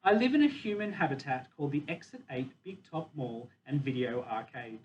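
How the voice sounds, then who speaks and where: Guy reading as proud sad captive gorilla from a kids book, careful measured delivery, bit far from mic n muffled. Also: mid-range voice, lively intonation, natural voice. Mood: matter-of-fact. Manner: measured, deliberate storybook telling. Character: proud, wistful, dignified captive male silverback gorilla. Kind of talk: children's novel read aloud in first person. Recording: dull, distant mic with faint noise.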